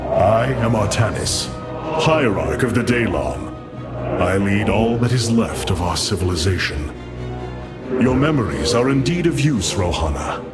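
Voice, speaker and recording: A noble alien leader, resolute and stern. His lowish voice is grave and commanding. A loud score plays beneath. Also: animated, measured pace. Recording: loud orchestral music behind.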